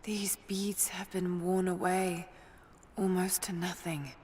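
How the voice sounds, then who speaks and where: A woman playing a wary, thoughtful young explorer in a video game, in a hushed, breathy voice. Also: on the low side, little pitch movement, slow pace. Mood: quiet musing. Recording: loud game noise over voice.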